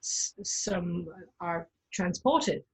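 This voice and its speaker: A woman's voice: on the low side, expressive, very slow, husky, low-quality recording. A woman whose first language is Danish, a tour guide and performer, talking in a conversational interview with frequent hesitations and restarts. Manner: hesitant, restarts often, laughs lightly.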